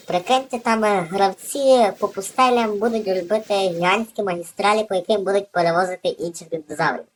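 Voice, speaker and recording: A woman's voice: medium pitch, slight background noise, low-quality recording. A woman hosting a gaming video with casual, off-the-cuff gameplay commentary.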